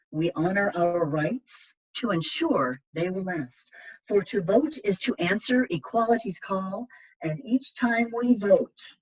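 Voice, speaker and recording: Woman, lower-pitched and lively, storytime reader for children, measured and emphatic delivery. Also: husky, highs cut off. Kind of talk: expressive read-aloud of children's picture books.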